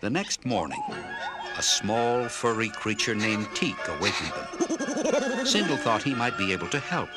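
Lively male storybook narrator over music. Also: mid-range voice, a little slow, low-quality recording. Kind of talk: scripted dramatic children's story narration.

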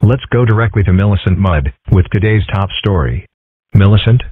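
A man voicing a mild, composed young boy fox in an amateur comic dub, with a deadpan delivery. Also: fairly low pitch, normal speed, husky, dry recording. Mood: formal. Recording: muffled, phone-like narrow sound.

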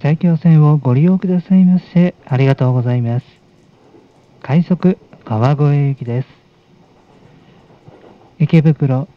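A man making formal, unhurried announcements as a train conductor. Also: mid-range voice. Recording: dull recording over train speakers.

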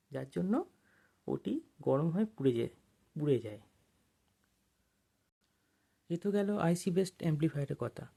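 A person narrating an informal technical tutorial as an instructor, explaining things step by step with pauses. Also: medium pitch, gravelly, warm tone, slightly rough.

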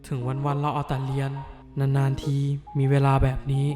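A man voicing a bookish, easily flustered teenage schoolboy in an animated dub, grumbling to himself. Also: medium pitch, moderate pace, music in the background, lightly acted. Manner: flustered, grumbling inner monologue. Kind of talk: anime dub acting.

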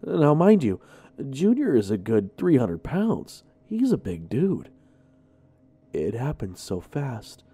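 Animated man with a mellow voice. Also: mid-range voice, takes it slowly, slightly rough. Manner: animated, informal, expressive. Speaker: horror story narrator. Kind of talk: dramatic first-person storytelling, conversational.

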